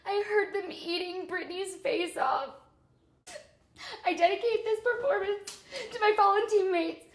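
A woman playing a perky, oblivious teen show-choir girl who chats fast and sings pop. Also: extremely high pitch, roomy sound, pitched above the performer's own voice. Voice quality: perky, chirpy, singing pop. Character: lone perky teen glee singer, cheerfully oblivious.

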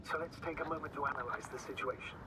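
An actor playing a formal, calculating male mission commander in a video game, speaking deliberately and precisely through a radio filter. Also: mid-range voice, noisy background. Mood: calm, analytical.

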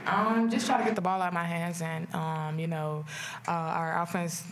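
A young woman basketball player answering questions at a postgame press conference, low-key and soft-spoken with many ums, into a table mic. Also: steady tone, normal speed, a little hiss or hum. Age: young adult.

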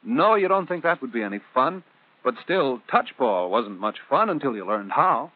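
Animated American narrator, tinny 1950s film soundtrack. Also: male voice, medium pitch, moderate pace. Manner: conversational, addressing the child directly; simple, explanatory phrasing for children. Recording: muffled telephone-like old film soundtrack.

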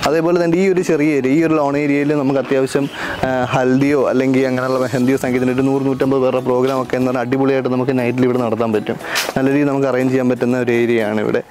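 A man's voice: medium pitch, flat delivery, racing, noisy background. A man with a Kerala Malayali accent giving a casual, practical venue walkthrough as an event planner and vlog host, mixing in English terms. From Kerala, India. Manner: explanatory, practical, peppers talk with English terms.

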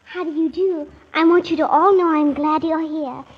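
Woman playing a little girl, very high voice, earnest, formal and polite, old radio drama, muffled hall echo. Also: fairly even intonation, normal speed, lightly acted. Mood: polite, proud. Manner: polite, precise, formal phrasing. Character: seven-year-old girl, earnest, well-mannered young noblewoman of a castle. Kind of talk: 1940s radio acting.

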